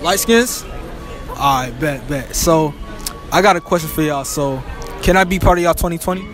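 A man's voice: fairly high pitch, animated, normal speed, fairly bright tone, faint music behind. Playful young man interviewing in a mall. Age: young adult.

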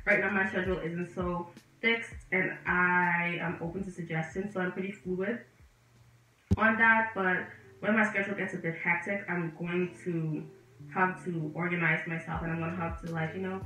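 Bahamian woman vlogging in an echoey room. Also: on the low side. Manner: lively and animated, gesturing with both hands, chatty and direct to viewers. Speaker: vlogger and medical student. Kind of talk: casual sit-down vlog talking to camera. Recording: echoey room, voice a bit distant, faint music behind.

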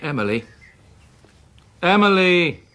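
An actor playing a callous, sarcastic, bullying husband with a British accent in a radio drama. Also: male voice, high-pitched, big pitch swings, slow pace, a bit bright, full character voice. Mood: impatient, bullying. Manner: hectoring, sarcastic, clipped repetitions. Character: callous, bullying, sardonic husband, impatient and scheming.

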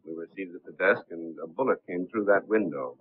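An actor in a 1930s film playing a composed, quick-thinking gentleman sleuth who poses as an attorney. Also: low voice, a little hiss or hum, lightly acted. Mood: cool, composed. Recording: muffled, narrow-band 1930s film soundtrack.

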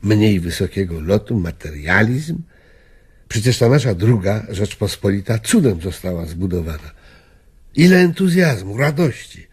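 An actor playing a weary, nostalgic old gentleman in a radio drama, speaking wistfully in halting, declamatory phrases. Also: fairly low pitch, sing-song, slightly rough, exaggerated voice. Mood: wistful, fervent. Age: older.

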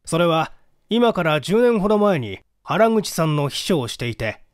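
Audiobook police detective, played by a male reader: formal, orderly, explanatory, lively intonation, close dry sound. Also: fairly high pitch, moderate pace, dry recording. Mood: earnest, emphatic. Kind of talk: dramatic audiobook reading.

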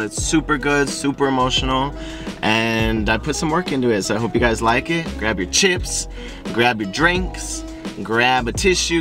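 A man in his twenties chats to his followers in an informal, slangy way. His voice sits at a middle pitch, with faint music behind it. The style is a casual vlog intro. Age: 20s. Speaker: actor and channel host. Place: inside a parked car.

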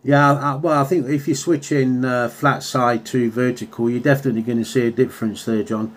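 A man's voice: mid-range voice, steady tone, a little fast. A man chatting casually over CB radio as a hobbyist, full of radio jargon. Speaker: radio hobbyist and video maker. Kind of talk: casual CB radio contact chat. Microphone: handheld radio microphone.

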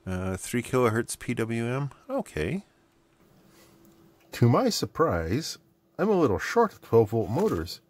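A man's voice: medium pitch, very animated, measured pace, darkish tone. A middle-aged man giving casual, unscripted commentary at a workbench, rambling and muttering asides to himself as he goes. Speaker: host of an electronics mailbag video. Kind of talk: casual unscripted bench commentary while unboxing and testing gadgets. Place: indoor electronics workbench.

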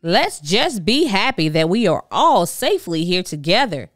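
A woman voicing a kindly female bird schoolteacher in a children's storybook, measured and reassuring. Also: lowish voice, very animated, no room sound, studio quality, faint music behind.

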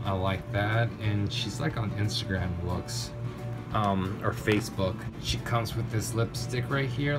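Man, low-ish voice, gushing toy reviewer, background music clearly audible. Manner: enthusiastic, gushing, lots of "really, really". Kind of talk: casual unboxing review. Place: indoors, tabletop setup. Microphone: off-camera narration, camera on hands.

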